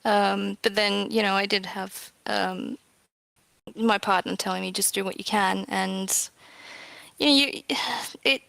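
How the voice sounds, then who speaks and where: Woman guest chatting casually about her work, gravelly fry in the voice, really dry sound. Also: mid-range voice, fairly even intonation, slow pace, long pauses, fairly bright tone, no room sound, poor audio. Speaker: indie game developer, guest. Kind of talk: casual interview answers.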